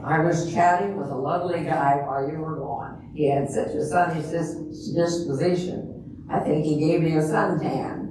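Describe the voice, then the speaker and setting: A dry, chatty older lady played by a woman in a readers theater talks in a deep voice as the long-suffering best friend. The sound is distant and roomy, with clear noise behind her. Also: steady tone, normal speed, natural voice. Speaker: amateur readers theater performer. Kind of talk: comedic stage reading. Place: large echoey room before an audience. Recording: echoey hall from far off, noisy.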